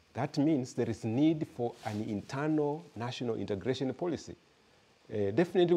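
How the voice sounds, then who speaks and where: A Kenyan man giving an academic lecture and answering audience questions, measured and searching for words as he talks. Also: medium pitch, mellow, slightly rough. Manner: measured, repeats words while searching for them. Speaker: guest lecturer.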